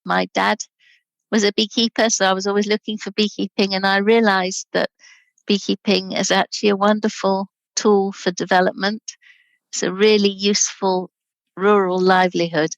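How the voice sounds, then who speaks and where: A woman in her sixties, originally from Scotland, chatting relaxed as a guest over a video call and laughing easily. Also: mid-range voice, normal speed, vocal fry, no room sound, poor audio. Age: 60s. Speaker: guest, charity director. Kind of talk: casual interview chat.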